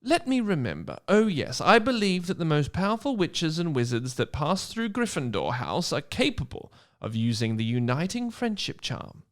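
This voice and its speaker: An earnest schoolgirl witch, put on by a man, lectures on magic in a comic storybook reading. The voice sits on the high side and moves very freely up and down, with deliberate, emphatic explanations. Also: big pitch swings. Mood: solemn, revealing.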